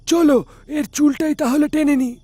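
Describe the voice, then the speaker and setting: Man playing a greedy, high-pitched eager fellow. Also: steady tone, unhurried, dark tone, no room sound. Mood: greedy, scheming. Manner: eager, exclamatory. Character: greedy male friend of a rickshaw driver.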